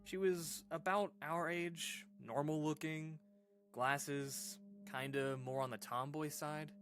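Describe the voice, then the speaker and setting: A man acting as an uneasy storyteller in an audio drama, recounting an eerie childhood memory in a halting, informal, conversational way. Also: fairly high pitch, fairly even intonation, fairly bright tone, soft background music. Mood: reminiscing. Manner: hesitant, halting, informal, with pauses and sighs.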